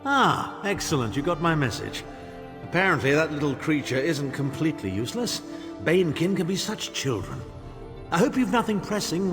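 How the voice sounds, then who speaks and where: A haughty fantasy-game nobleman, acted out by a man, who speaks with pompous disdain and scheming self-importance. His voice rings in a long reverb over clear background music. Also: mid-range voice, natural voice. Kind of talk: theatrical fantasy game dialogue.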